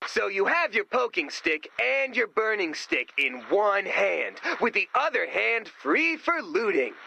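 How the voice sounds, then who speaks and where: Excitable scheming inventor, video game dialogue, lively pitch swings, gravelly creak, eager pitchman delivery. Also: a little slow, no room sound, muffled recording, full character voice. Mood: salesman pride. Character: excitable, scheming goofy male inventor-salesman.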